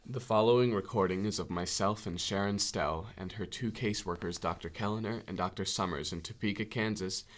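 A man's voice: deep voice, lightly acted. A man playing an interviewer who presents recordings in scripted documentary-style narration.

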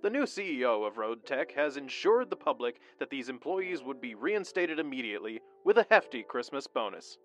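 An actor playing a lively male television news anchor reading a scripted report in an audio drama. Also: mid-range voice, normal speed, few pauses, music in the background, lightly acted. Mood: polished, upbeat. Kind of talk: scripted newsreading.

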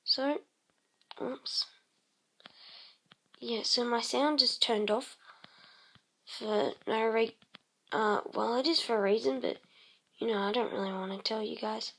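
A woman casually narrating her game session, croaky and clearing her throat, rambling with many pauses and humming to herself. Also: a little high, fairly bright tone, low-quality recording. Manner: slow, rambling, many ums, hums tunes while playing. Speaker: let's play host. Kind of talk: casual gameplay commentary.

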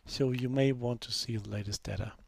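Gravelly man talking through a software demo. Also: mid-range voice, fairly quick, a little hiss or hum. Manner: relaxed, unscripted, many fillers and restarts. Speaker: software developer giving a demo. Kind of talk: screen-recorded software demo narration.

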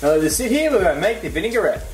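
A man's voice: sits high, very animated, rapid-fire. A young man co-hosting a home cooking video, giving casual step-by-step recipe instructions with playful banter. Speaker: cooking show co-host. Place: home kitchen. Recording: echoey kitchen with background music.

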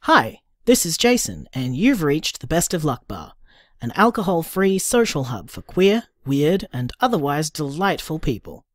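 Young man, tender and upbeat, soft musing delivery, lively intonation, dry close sound, audio drama. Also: fairly high pitch, dry recording. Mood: cheerful, welcoming. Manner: soft, musing, unhurried, affectionate.

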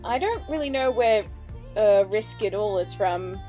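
A woman's voice: mid-range voice, lively intonation, measured pace, mellow. A woman hosting a reaction video, commenting casually as she goes. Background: background music.

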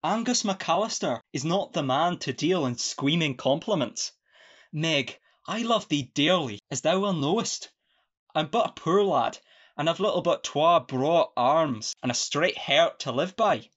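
A man's voice: a little high, expressive, a little slow, a bit bright, dull audio. A man playing a sentimental, devoted young peasant lad in a farcical radio play, with a broad rustic Scottish accent. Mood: tender, earnest.

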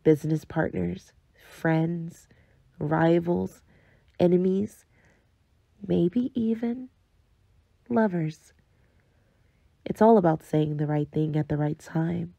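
A woman's voice: lowish voice, takes it slowly, vocal fry, pauses often, mellow, dry recording, full character voice. A woman voicing an intimate, knowing digital companion who coaxingly guides the listener in a scripted game trailer. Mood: coaxing, sly.

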